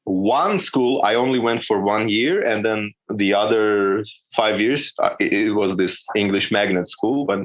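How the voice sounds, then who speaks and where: Armenian-accented man, low and slightly muffled. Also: a little slow, hardly any pauses. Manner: hesitant, repeats words, laughs often. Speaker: comedian guest. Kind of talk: casual podcast interview.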